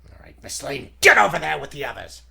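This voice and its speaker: A man voicing a gloating, cruel villain in a theatrical, sneering, drawn-out 1940s radio serial manner. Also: a little high, sing-song, creaky, pushed and bright, voice pushed down, full character voice. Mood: gloating, menacing.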